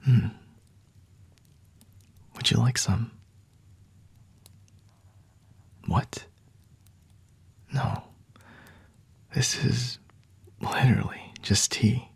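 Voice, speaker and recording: A man playing a smug, flirty vampire in a whispered ASMR roleplay, teasing and condescending with a breathy, husky delivery. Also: mid-range voice, extremely slow, pauses often, dry recording, exaggerated voice. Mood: condescending, amused. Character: flirty, smug male vampire toying with a captured hunter.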